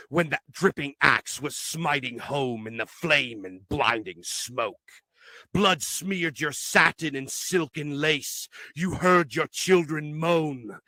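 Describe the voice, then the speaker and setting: A man reciting poetry dramatically, in a solemn, declamatory manner with measured phrasing. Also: a little high, gravelly, pushed and bright, no room sound. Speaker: poetry reader.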